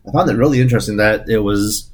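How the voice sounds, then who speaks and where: A man co-hosting a casual movie podcast, halting and easygoing, often repeating words as he talks. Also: lowish voice, breathy edge. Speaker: podcast co-host. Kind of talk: casual movie review chat.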